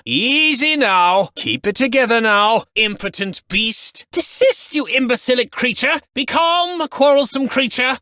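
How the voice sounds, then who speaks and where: Shrill, pompous medieval lord. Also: male voice, sharp tone, no room sound, clean studio sound, heavily acted. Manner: pompous, imperious, barks orders and insults. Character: pompous, haughty male medieval lord, adult. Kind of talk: game voice lines.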